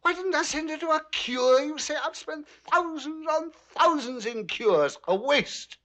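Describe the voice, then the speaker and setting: A man's voice: very high voice, expressive, drawn-out words, bright, forceful tone, heavily acted. A man acting an aggrieved, defensive old patriarch in a dramatic stage dialogue. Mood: defensive, agitated.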